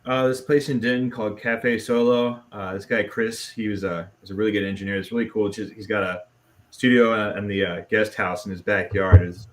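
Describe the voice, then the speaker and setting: A man's voice: lowish voice, steady tone, fairly quick. A man chatting casually as a guest musician in an interview, laid-back and self-deprecating. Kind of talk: casual chat, being interviewed.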